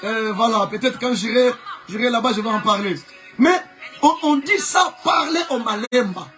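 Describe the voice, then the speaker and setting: A man preaching a sermon with a Congolese French accent, whose first language is Chokwe. He preaches fervently, often shouting and repeating phrases for emphasis. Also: extremely high pitch, moderate pace, soft background music. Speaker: pastor. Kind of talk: live-streamed preaching sermon.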